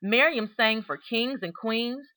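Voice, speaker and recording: A woman's voice: fairly high pitch, measured pace, sharp tone. Woman reading a storybook aloud, expressively. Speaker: reader of a children's book aloud. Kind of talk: read-aloud storybook narration.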